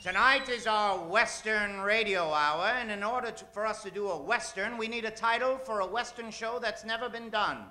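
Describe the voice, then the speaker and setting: A man's voice: high voice, normal speed, hardly any pauses, pushed and bright. A man hosting a live comedy radio show from a theater stage, bantering with the audience and drawing out names in a theatrical announcer style.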